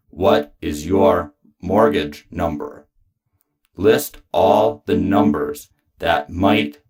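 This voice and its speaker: A man reading poetry aloud in a flat, official manner, in clipped lists and commands. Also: deep voice. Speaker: poetry reader. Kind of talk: deliberate poetry recitation.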